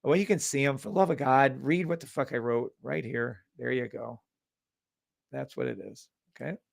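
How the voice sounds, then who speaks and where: A middle-aged man hosting a live trading stream, calling out orders in quick bursts with brash, profane commentary. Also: mid-range voice, creaky, no room sound, studio quality.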